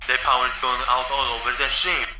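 An actor playing a resigned, matey spaceship cleaner talking over a walkie-talkie in an audio comedy. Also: male voice, medium pitch, level tone, fairly quick. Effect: walkie-talkie radio. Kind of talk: comedy audio drama acting. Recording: very noisy, distant walkie-talkie with echo.

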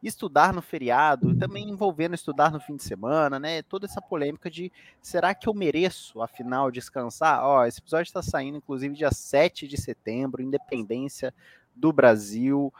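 A man hosting a podcast who talks in a relaxed, slangy way with loose restarts. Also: medium pitch, normal speed, dry recording. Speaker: podcast host. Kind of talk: casual podcast chat.

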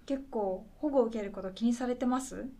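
A woman's voice: a little high, a little fast, warm tone. A woman acting in a conversational radio drama as a polite welfare caseworker, patient, reassuring and a little tentative.

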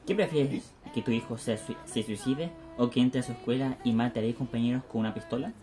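An anguished, questioning Chilean youth, played by a young woman in a radio play. The voice is extremely deep and dark, over faint music. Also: a little fast. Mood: challenging. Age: young adult. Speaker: student performer. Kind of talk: radio theatre acting.

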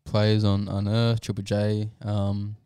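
A man's voice: on the low side, completely flat delivery, slow pace, mellow, smooth, dry recording. A man in his thirties with an Australian accent, co-hosting a casual podcast interview and asking rambling, restarting questions. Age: 30s. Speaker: podcast co-host.

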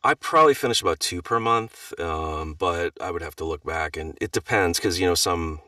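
A middle-aged man with a very deep, low voice talks casually about his narration work, relaxed and self-deprecating, getting animated in his stories. The recording is dry and close-sounding, though he sits a bit back from the mic. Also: normal speed, no room sound, distant mic. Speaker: audiobook narrator. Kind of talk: casual podcast chat.

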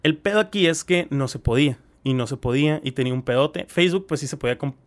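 A man with a Mexican Spanish accent co-hosting a podcast, chatting casually with crude slang and animated retellings. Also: a little high, fast. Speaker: podcast co-host. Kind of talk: casual chat.

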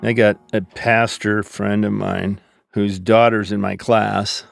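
A man's voice: on the low side, little pitch movement, takes it slowly, gravelly, faint music behind. A middle-aged or older man, a pastor, explaining things in a casual, teacherly podcast style. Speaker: pastor and theology teacher answering questions.

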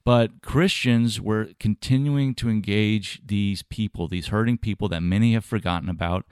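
Earnest male podcast host, low-pitched, smooth and resonant despite a slightly scratchy throat, measured delivery. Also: a little mellow, no room sound, clean studio sound. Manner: earnest, measured, with occasional fillers and restarts. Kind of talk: podcast monologue on ministry travels.

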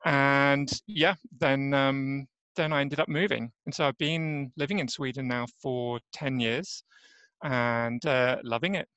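A man's voice: medium pitch, steady tone, a little slow, a bit bright, clean tone, no room sound, dull audio. A man with an English accent, a podcast guest chatting casually and hesitantly with many ums. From England. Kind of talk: casual interview chat.

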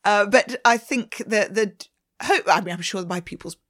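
A woman's voice: medium pitch, normal speed, a bit bright. A middle-aged woman with a Swedish accent, a ballet teacher answering interview questions in a chatty way and laughing often. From Sweden.

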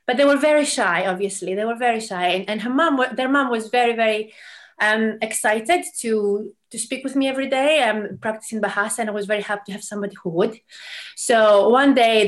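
A middle-aged woman from Greece tells a story in an interview, at an ordinary pitch for a woman. She speaks in an animated way, with frequent fillers, restarts and repeated words. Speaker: mission representative, guest. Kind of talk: conversational storytelling.

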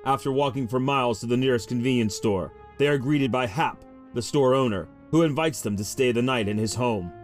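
Male announcer for a horror audio trailer, mid-range pitch, music underneath. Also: brisk, few pauses. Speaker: trailer narrator. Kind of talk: scripted promo narration for horror audio.

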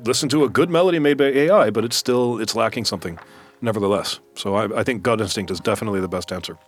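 A man co-hosting a podcast, asking a guest chatty questions in a relaxed studio conversation. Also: lowish voice, fast talker. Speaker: podcast co-host. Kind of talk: casual interview chat.

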